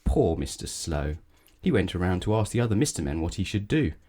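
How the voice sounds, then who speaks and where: A man with a low voice reads a children's storybook aloud. His delivery is measured and expressive, with animated swings in pitch and a mellow tone. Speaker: storybook reader. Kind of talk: children's read-aloud narration.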